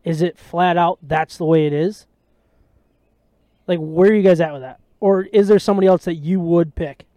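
A man hosting a casual sports podcast, chatty and jokey, with a voice he calls high. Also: fairly even intonation, normal speed, warm tone, dry recording. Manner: chatty, jokey, self-deprecating. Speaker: podcast host.

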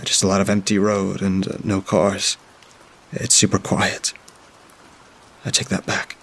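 A frightened young child pours out diary entries in a low, gravelly, husky voice, stumbling over fillers and restarting sentences, as a man reads the part. The delivery stays flat and subdued, barely rising or falling. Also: heavily acted. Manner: halting, with frequent filler sounds. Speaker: storyteller. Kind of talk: first-person diary reading.

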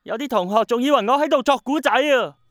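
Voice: high-pitched, quick pace, a little hiss or hum, lightly acted. An actor playing an earnest, naive young grandson, a schoolboy full of questions, in a radio drama. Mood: curious, puzzled. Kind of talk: Cantonese radio drama acting.

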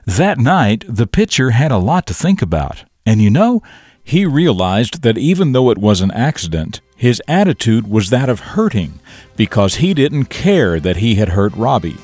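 Animated, husky male radio storyteller. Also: medium pitch, normal speed, no echo, soft background music. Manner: folksy, expressive, emphatic. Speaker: radio show host and storyteller. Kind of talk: morning radio talk and dramatic story narration.

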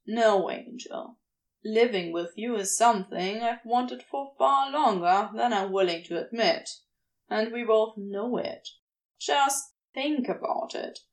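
A grumbling demon played by a woman, male, with a dry, blunt way of talking. He speaks slowly and sardonically, with a devoted, vulnerable streak, as a character in an audio story. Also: fairly high pitch, expressive, poor audio. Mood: exasperated, devoted. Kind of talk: fan fiction audio reading, acted dialogue.

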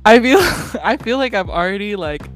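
A woman's voice: medium pitch, big pitch swings, moderate pace, faint music behind. A woman in her twenties hosting a casual podcast, talking in an earnest, friendly way with frequent fillers and laughs. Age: 20s. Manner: warm, earnest, often laughing, many fillers. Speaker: podcast host.

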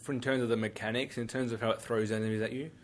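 A man's voice: medium pitch, fairly even intonation, rapid-fire. Casting note: male podcast co-host, dry and matter-of-fact about games. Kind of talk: casual gaming discussion.

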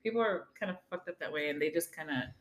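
A woman's voice: sits low, quick pace, a crowd in the background. A woman in her thirties hosting a casual chat, easygoing and blunt, swearing freely. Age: 30s. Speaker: host. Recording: roomy echo.

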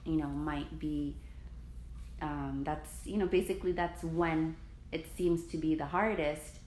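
Woman, lowish voice, casual supportive chat, echoey room with faint hiss. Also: steady tone, a little slow, a little mellow. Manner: supportive, thoughtful, hesitant with many fillers.

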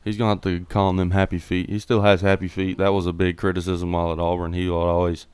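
A man's voice: deep voice, completely flat delivery, fast talker, clean tone, no echo. A man co-hosting a podcast, chatting casually about college football and rambling through his thoughts. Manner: rambling, restarts mid-thought. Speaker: podcast co-host. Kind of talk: casual sports chat.

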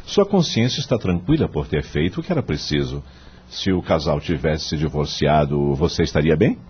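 An actor playing a wise, kindly male law professor in a radio drama, with a Brazilian accent and a husky voice, measured and moralizing. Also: rumbling low, lively intonation, dull audio, full character voice. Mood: kindly, reassuring. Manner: didactic, measured, moralizing, rhetorical questions.

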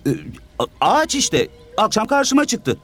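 A man's voice: extremely high pitch, very animated, a bit bright, faint music behind, pitched above the performer's own voice, exaggerated voice. A man voicing a hesitant little boy who stumbles over his words. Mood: unsure. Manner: halting, unsure.

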